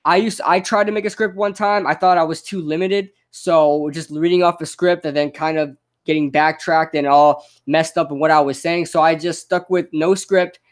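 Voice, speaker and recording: Stuttering young man with a higher voice. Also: steady tone. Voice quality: slight stutter. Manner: rambling, quick-thinking, frequent restarts. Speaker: podcast host. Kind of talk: casual unscripted advice monologue.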